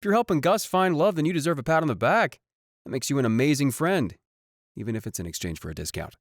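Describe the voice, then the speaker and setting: A man's voice: on the high side, expressive, quick pace, dry recording, clean studio sound. A man playing a vain, playful idol singer in a scripted roleplay audio drama, teasing with casual swagger. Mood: prying, playful. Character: cocky, flirty male idol band singer, playful and vain. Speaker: voice actor.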